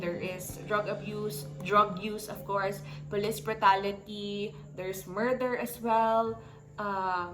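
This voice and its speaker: A woman's voice: mid-range voice, fairly bright tone, low-quality recording. A woman in her twenties with a Filipino accent, chatting casually to her viewers as she reviews books. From Philippines. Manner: chatty, often addresses viewers as guys. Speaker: book vlogger. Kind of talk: casual book review vlog. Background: background music.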